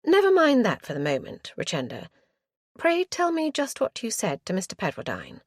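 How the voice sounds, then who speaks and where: A woman's voice: fairly high pitch, huge pitch swings, moderate pace, dry recording, studio quality, pitched above the performer's own voice. A woman doing a tart, formal Regency aunt. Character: brisk, tart aunt, a married Regency lady.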